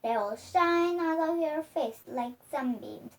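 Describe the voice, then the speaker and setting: Young female child reader, high voice, halting storybook delivery, picked up from a distance. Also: lively intonation. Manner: careful, halting reading with misreadings. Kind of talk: storybook read-aloud. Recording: distant microphone.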